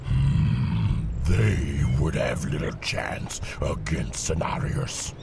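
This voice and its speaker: An actor playing a proud, battle-hungry demon warlord in a fantasy video game, gravelly and menacing. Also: male voice, very animated, exaggerated voice. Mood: menacing, brooding. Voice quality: gravelly, booming. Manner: slow, menacing, pondering. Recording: rumbling noise behind the voice.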